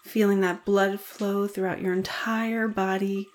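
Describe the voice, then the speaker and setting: A woman leading a guided meditation in a soothing, deliberate manner with gentle pauses. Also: medium pitch, level tone.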